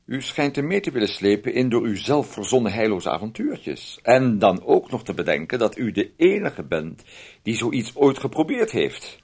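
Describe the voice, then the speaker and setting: A fussy, sardonic brother in a radio drama. His voice is husky and swings widely in pitch, halting over small interjections as he condescends and quietly controls. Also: very animated, full character voice. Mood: sardonic, condescending. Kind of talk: radio play acting.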